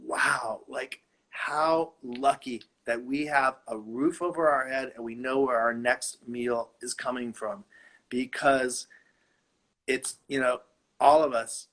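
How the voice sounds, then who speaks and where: A man's voice: medium pitch, steady tone, slow pace, a bit bright, poor audio. A middle-aged man from Los Angeles, a designer chatting playfully from his home and laughing often. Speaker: fashion designer guest. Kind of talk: casual video-call chat.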